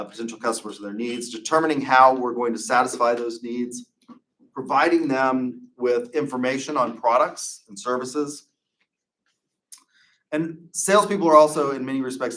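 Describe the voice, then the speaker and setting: A middle-aged man lectures a marketing class in a loose, conversational way. He rambles through asides and restarts. His voice sits at an ordinary middle pitch. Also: fairly bright tone, poor audio. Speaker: marketing professor.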